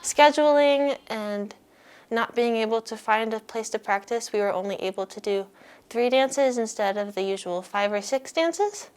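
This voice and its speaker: Smooth female voice, fairly high, interview answer. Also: moderate pace, darkish tone. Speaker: dance organizer interviewee.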